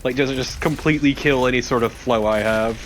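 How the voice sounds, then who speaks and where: Male co-commentator, mid-range voice, relaxed casual chat over gameplay, faint game noise behind. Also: medium pitch, a little fast. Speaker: let's play co-commentator.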